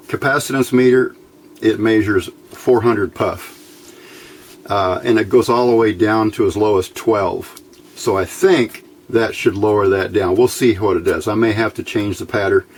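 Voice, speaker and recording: Male hobbyist instructor, casual and very unhurried step-by-step delivery, faint noise behind the voice. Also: on the low side. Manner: unhurried, thinking aloud. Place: indoors at a workbench by a window.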